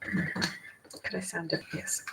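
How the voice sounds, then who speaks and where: An American woman chatting casually and rambling, asking and answering her own questions as if talking to herself. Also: moderate pace. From United States. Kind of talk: rambling casual solo chat while playing cards. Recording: very noisy recording with clicks.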